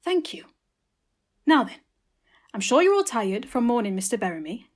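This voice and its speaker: A woman playing a showy, self-satisfied police inspector, measured and in charge, in a comedy audio drama. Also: on the high side, big pitch swings. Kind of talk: hobby comedy murder-mystery audio drama.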